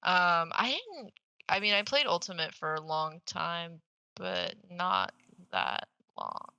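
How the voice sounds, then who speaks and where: A woman's voice: fairly low pitch, sing-song, slow pace, creaky, bright, forceful tone, clean tone, no room sound. A young adult woman co-hosting a podcast, chatting informally and enthusiastically about games, with casual swearing. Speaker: podcast co-host. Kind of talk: casual gaming chat.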